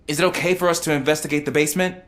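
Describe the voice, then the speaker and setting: A man's voice: a little high, fairly even intonation, rapid-fire, a bit bright, close to an everyday voice. An actor playing an eager, chatty young male rookie cop in a video game, with a casual, wry way of talking.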